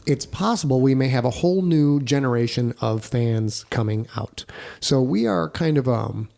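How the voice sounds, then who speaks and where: Gravelly middle-aged man, casual podcast host. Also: medium pitch, lively intonation, normal speed, warm tone. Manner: informal, blunt, jokey. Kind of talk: casual podcast chat about tour plans.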